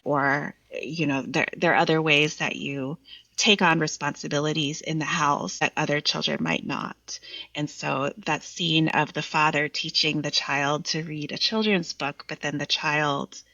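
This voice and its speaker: A woman speaks in a lowish voice with a bright, forward edge and noticeable creak. She is reflective and articulate, explaining poetry as a guest in conversation. The recording is a little dull and lo-fi. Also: fairly even intonation, measured pace, no echo. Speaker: podcast guest, poet and literary scholar. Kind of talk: reflective literary conversation with some poem reading.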